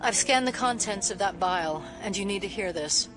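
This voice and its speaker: An actress playing a cool, methodical female FBI agent and scientist in an audio drama, measured and drily reproving. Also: mid-range voice, lively intonation, over music, lightly acted, rough recording. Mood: focused.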